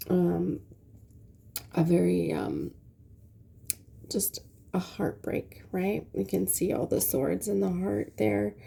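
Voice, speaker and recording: A woman with a stuffy nose giving a casual tarot reading, speaking softly and hesitantly with many pauses and fillers. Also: mid-range voice, vocal fry. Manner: soft, hesitant, many ums. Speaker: tarot reader.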